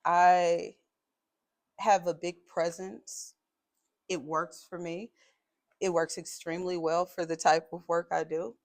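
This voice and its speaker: A woman's voice: lowish voice, flat delivery, takes it slowly, pauses often. A woman speaking in a strong voice, making her points assertively in a podcast conversation. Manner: assertive, emphatic, builds points in lists.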